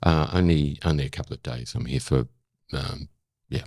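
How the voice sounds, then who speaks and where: Very deep, gravelly older Australian man. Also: moderate pace, darkish tone, dry recording, clean studio sound. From South Australia. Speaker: interview guest, former broadcaster. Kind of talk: reflective conversational interview.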